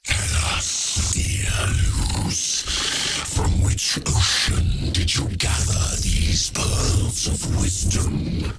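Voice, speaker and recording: An actor playing a grandiose, sadistic sorcerer lord in a video game, speaking in a theatrical, mocking, archly formal and menacing way. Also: male voice, drawn-out words, heavy background noise. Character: grandiose, sadistic villain sorcerer lord serving a dark god. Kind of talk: dramatic video game villain acting. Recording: loud music behind the voice. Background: loud music.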